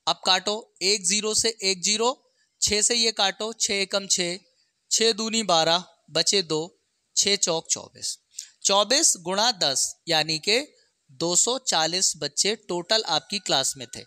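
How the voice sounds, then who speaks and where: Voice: medium pitch, slow, bright, forceful tone, no echo. A maths teacher explains exam problems step by step, often checking in with short questions.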